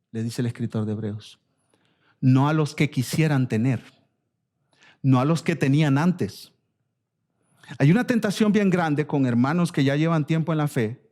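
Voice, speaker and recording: A man's voice: a little high, a little mellow. A man with a Latin American Spanish accent preaching an expository sermon as a pastor, emphatic and exhorting, repeating phrases and asking rhetorical questions. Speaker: pastor preaching to his church.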